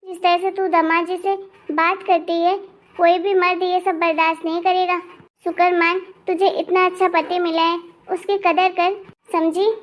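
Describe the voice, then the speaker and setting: A woman voicing an older mother-in-law in an animated family drama, firm and maternal as she admonishes. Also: very high voice, normal speed. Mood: admonishing.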